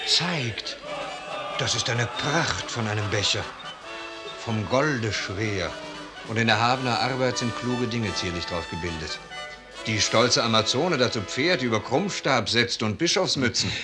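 A man playing a curious, brisk soldier in a 1950s German radio drama. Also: mid-range voice, lively intonation, close to an everyday voice. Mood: curious, admiring. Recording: 1950s radio recording, music behind.

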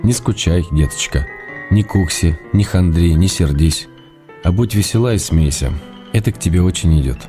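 A man's voice: low voice, moderate pace, warm tone, faint music behind, lightly acted. An actor playing an affectionate, slightly weary husband in a radio play, reading out his letters in an intimate, restrained, tender way. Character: affectionate husband and writer, gentle, weary, fond. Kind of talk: quiet dramatic reading of letters.

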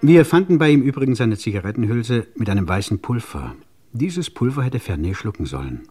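Wry, husky old-radio police commissioner. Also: male voice, mid-range voice, sing-song, mellow, faint music behind. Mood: wry, self-satisfied. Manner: theatrical, rhetorical, addressing listeners in measured storytelling. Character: wry, self-satisfied middle-aged French police commissioner recounting his case. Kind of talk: 1950s German radio crime drama acting. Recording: 1950s radio recording.

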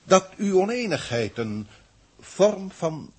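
An actor in a 1960s science fiction radio play playing a formal, aloof alien examiner with stilted, deliberate wording. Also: male voice, sits high, very animated, a little mellow, breathy edge, full character voice. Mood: reflective, admiring. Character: alien Proctor, formal, learned, aloof male galactic examiner.

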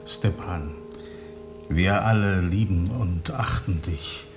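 An actor in a radio play playing a principled, firm revolutionary leader with measured, emphatic phrasing. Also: male voice, sits low, soft background music. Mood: firm, earnest.

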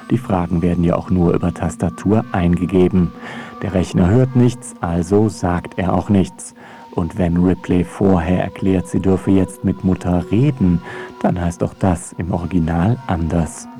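A man's voice: low-pitched, fairly even intonation, measured pace, dark tone, soft background music. A man narrates a scripted radio essay in a wry, dryly ironic manner.

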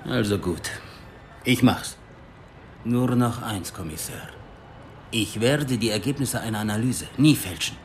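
An actor playing an eager, conscientious forensic technician in a radio crime drama, polite and deferential but principled. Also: male voice, on the low side, a little slow, pauses often, slight background noise, natural voice. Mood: uneasy, firm.

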